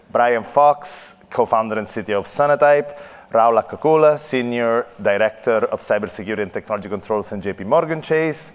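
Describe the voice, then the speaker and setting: A man hosting an event, introducing panelists with halting fillers. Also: medium pitch, clean tone. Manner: hesitant, reading names. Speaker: event host. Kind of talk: stage introduction. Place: large conference hall. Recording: long hall reverb.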